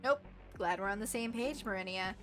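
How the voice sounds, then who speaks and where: A woman's voice: medium pitch, normal speed, lightly acted. Smug, controlling dating-show host voiced by a woman for a game, showy delivery over music.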